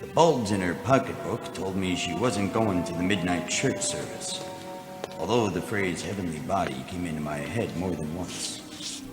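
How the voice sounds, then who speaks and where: A man playing a wry, hard-drinking private eye, narrating deadpan in the style of a 1940s radio detective parody. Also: deep voice, moderate pace, lightly acted. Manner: deadpan, wisecracking noir narration. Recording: roomy sound, music underneath.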